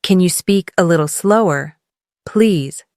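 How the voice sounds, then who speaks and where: A woman leading a scripted English lesson as a teacher, encouraging the learner and clearly enunciating model phrases for repetition. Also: on the low side, measured pace, darkish tone, dry recording, studio quality. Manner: encouraging coaching.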